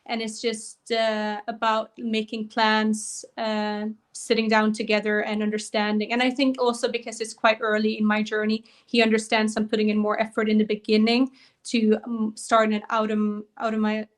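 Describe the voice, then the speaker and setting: A woman's voice: on the high side, completely flat delivery, moderate pace. A young woman from Norway speaking English with a Norwegian accent as an interview guest, thoughtfully searching for words now and then. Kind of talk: interview answers.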